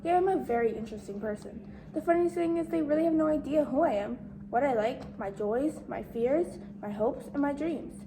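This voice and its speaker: A woman's voice: high voice, moderate pace, noisy background. A woman playing a shy, insecure, bookish teenage outcast in an amateur dramatic monologue. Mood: wry, frustrated, then wistful.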